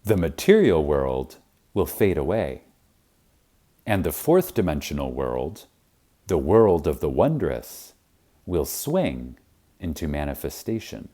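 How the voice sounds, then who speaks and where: A man narrating a spiritual audiobook in a reverent, emphatic manner with dramatic pauses. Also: a bass voice, sing-song, warm tone. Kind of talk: scripted audiobook reading of spiritual teaching.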